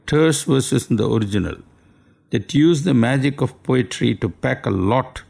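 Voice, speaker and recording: Gravelly man. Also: medium pitch, darkish tone. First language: Tamil. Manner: formal, measured, courteous. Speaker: radio speaker. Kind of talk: scripted radio talk.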